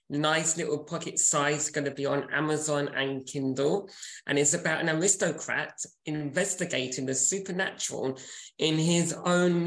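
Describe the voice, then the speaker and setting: A man's voice: a little high, fairly bright tone. A man, chatting enthusiastically as a podcast co-host. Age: 40s. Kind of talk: casual podcast chat. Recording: roomy echo.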